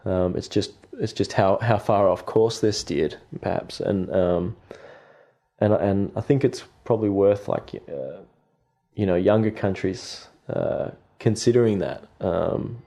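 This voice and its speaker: An Australian man speaking in a low, mellow voice. He thinks aloud in a relaxed podcast chat, pausing often on ums and restarting his sentences. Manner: hesitant, many fillers and restarts. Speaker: guest artist. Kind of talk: reflective podcast conversation.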